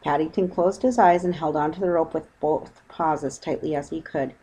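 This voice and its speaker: A woman's voice: deep voice, normal speed, warm tone, husky, rough recording. A woman reading a scripted children's story as narrator. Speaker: audiobook narrator.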